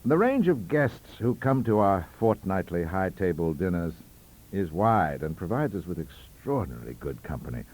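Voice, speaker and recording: Theatrical low-voiced male storyteller. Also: sing-song, moderate pace, darkish tone. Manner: theatrical, melodramatic, wide-ranging delivery. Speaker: audiobook narrator. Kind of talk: scripted narration of a ghost story.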